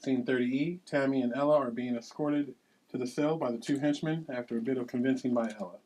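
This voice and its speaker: A man's voice: mid-range voice, steady tone, fast talker, darkish tone, low-quality recording. A man narrating at a table read, reading scene headings and stage directions aloud.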